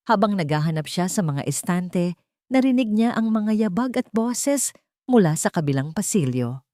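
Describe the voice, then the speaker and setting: Female narrator, Filipino accent. Dark, soft-edged low tone, dry sound, scripted dramatic storytelling. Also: no echo.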